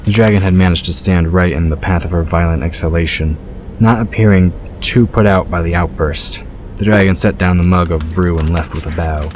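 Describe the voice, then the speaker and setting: A man's voice: low voice, steady tone, brisk, a little mellow. A man reading scripted fantasy fiction as the narrator of a story. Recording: clear background ambience noise.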